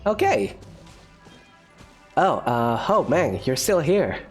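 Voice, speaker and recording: Lively-pitched male gamer over faint music. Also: slow, husky. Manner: expressive, repeats phrases. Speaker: gaming video creator. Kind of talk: casual let's-play commentary.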